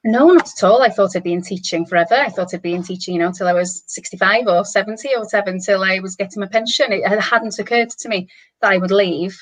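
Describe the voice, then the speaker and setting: A woman's voice: mid-range voice, fast talker, low-quality recording. A middle-aged woman with a Welsh accent, a native Welsh speaker, talking enthusiastically as a guest on an online panel, in long flowing runs. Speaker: managing director, guest. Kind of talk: casual online panel chat.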